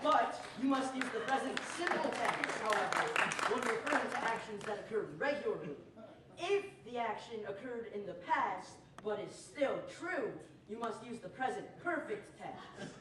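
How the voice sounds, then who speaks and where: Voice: medium pitch, animated, moderate pace, a loud crowd, lightly acted. A performer playing a pompous, exasperated outlaw rustler in a stage Western, with precise and over-emphatic diction. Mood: desperate pleading. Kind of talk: stage show of old-time Western radio drama. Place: theater stage with distant microphone. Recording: very echoey noisy stage, audience, far off.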